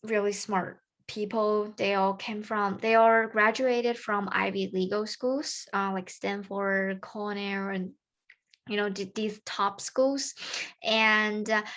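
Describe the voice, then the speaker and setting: A teenage girl from China talks to her peers in English, with a Mandarin Chinese accent. She pauses often, fills gaps with "uh" and "like", and restarts her sentences. Her voice sits at a middle pitch for a woman. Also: fairly even intonation, fairly bright tone. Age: teens. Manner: casual, halting, many fillers and restarts. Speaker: student presenter. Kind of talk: recorded presentation to peers.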